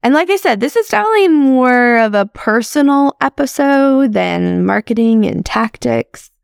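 A woman's voice: sits high, expressive, normal speed, gravelly, smooth, no room sound, clean studio sound. A woman in her thirties hosting a podcast, talking through personal reflections in a chatty, upbeat way full of casual fillers. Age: 30s. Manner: chatty and upbeat, with frequent "like" and "crazy". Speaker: podcast host.

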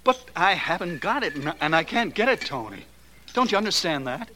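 An actor playing a desperate young man in debt in a 1940s radio drama, agitated and halting. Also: a little high, sing-song, bright, forceful tone. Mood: pleading. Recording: noisy old radio recording.

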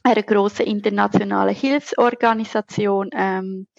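A woman's voice: medium pitch, steady tone, moderate pace, creaky, no room sound, muffled recording. A woman from Zurich with a Zurich Swiss German accent, answering conversationally as a podcast guest who researches and teaches. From Zurich, Switzerland.

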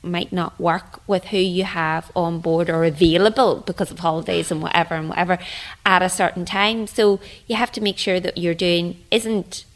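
A woman's voice: lowish voice, fairly even intonation, moderate pace. A woman with a Northern Irish accent talking informally as an interview guest about her group. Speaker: drama group member, children's teacher, radio guest. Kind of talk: casual interview chat.

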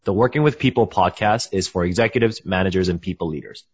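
A man with a low, somewhat husky voice hosts a business podcast, upbeat and quick to say "awesome." He moves from a scripted opening into relaxed interview questions, heard on slightly muffled audio. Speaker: podcast host. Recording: dull lo-fi audio.

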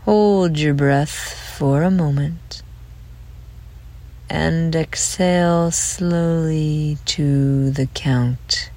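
Very slow, low-voiced woman leading meditation. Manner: soothing, gentle, breathy trailing phrase ends. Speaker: guided meditation leader. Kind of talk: slow guided relaxation meditation.

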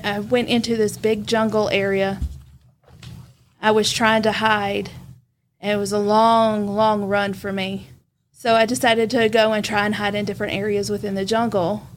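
Woman interpreter, first-person life story, monotone delivery, halting. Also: mid-range voice, slight background noise. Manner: halting, with restarts and fillers. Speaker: sign language interpreter voicing the guest. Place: church podcast studio.

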